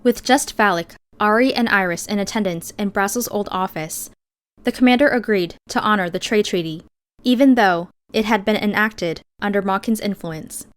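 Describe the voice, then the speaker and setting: A woman narrates a novel in the first person. Her voice sits at a middle pitch. The reading is scripted audiobook storytelling. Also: normal speed, clean tone.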